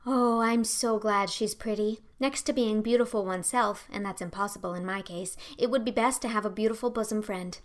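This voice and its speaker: A woman's voice: mid-range voice, fairly even intonation, a little fast, few pauses, lightly acted. A woman voicing a dreamy, imaginative orphan girl in an audiobook, talking earnestly and effusively. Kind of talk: audiobook character acting.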